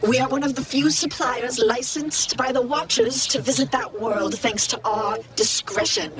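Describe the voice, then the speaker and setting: A woman's voice: on the high side, steady tone, normal speed, lightly acted. A woman voicing an indignant squid-like alien merchant who talks in a prim, formal, businesslike way in an audio story. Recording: loud crowd bustle behind. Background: busy market crowd.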